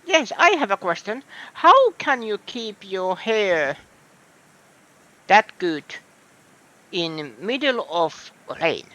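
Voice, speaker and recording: A man's voice: high-pitched, big pitch swings, slow, long pauses, sharp tone, low-quality recording. A man with a Finnish accent, thinking aloud in a hesitant way as he comments on a game. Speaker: streamer. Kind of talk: casual gameplay commentary.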